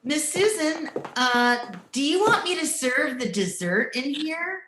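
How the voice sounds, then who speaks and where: A woman's voice: high voice, expressive, slow, a bit bright, full character voice. A woman playing a droll, meddling housemaid, hesitant and deferential, in an old-time radio comedy, recorded in a noisy room. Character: drily meddling, servile female housemaid. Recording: roomy, clearly noisy home recording.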